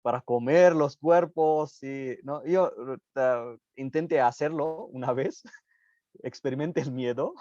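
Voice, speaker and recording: An unhurried man from India, speaking in a measured, halting way and often stopping to find the word he needs. His voice comes through a dull, muffled, low-fidelity recording with no room echo at all. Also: warm tone. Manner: halting, searching for words, frequent pauses. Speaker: Buddhist practitioner guest. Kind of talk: reflective spiritual conversation.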